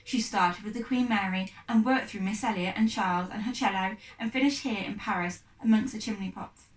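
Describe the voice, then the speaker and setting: A woman's voice: mid-range voice, a little fast. A woman, a teacher, reading a children's novel aloud as narrator. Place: echoey room, away from mic. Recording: echoey room, distant mic.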